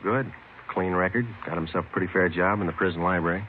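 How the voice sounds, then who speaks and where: An actor playing a blunt, no-nonsense police detective, deadpan and clipped, in an old radio crime drama. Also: male voice, low-pitched, fast talker, slight background noise, close to an everyday voice. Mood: matter-of-fact. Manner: deadpan, clipped short sentences. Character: blunt, terse, no-nonsense male police detective narrating cases. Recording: narrow-band old radio recording, music behind.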